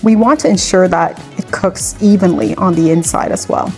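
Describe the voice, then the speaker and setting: A woman's voice: fairly low pitch, normal speed, darkish tone. A woman hosting a cooking video, walking through a recipe in a chatty, conversational way with personal asides. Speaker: cooking channel host. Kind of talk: cooking tutorial with personal chat. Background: faint background music.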